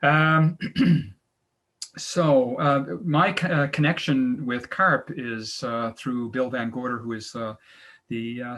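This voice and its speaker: A middle-aged man from Canada, hosting an online travel presentation, talking haltingly with many fillers and restarts. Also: medium pitch, a bit bright. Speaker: travel agency owner and webinar host.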